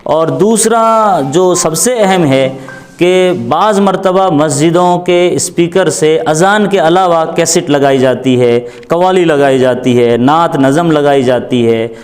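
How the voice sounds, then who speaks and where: Male interviewee, fairly high voice, explains deliberately like a preacher-teacher, restating key phrases. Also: darkish tone. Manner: deliberate, repeats phrases for emphasis.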